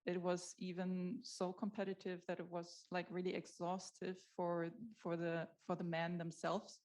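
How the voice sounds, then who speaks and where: A woman's voice: fairly low pitch, completely flat delivery, moderate pace, a little mellow. A woman speaking as a panelist, hesitant and full of fillers. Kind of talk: panel discussion.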